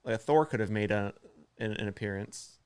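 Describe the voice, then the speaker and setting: A man in casual conversation as a podcast co-host, excitable and given to thinking out loud. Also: fairly low pitch, moderate pace, smooth. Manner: excitable, exclamatory, thinks aloud. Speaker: podcast co-host playing a quiz. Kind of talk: casual chat.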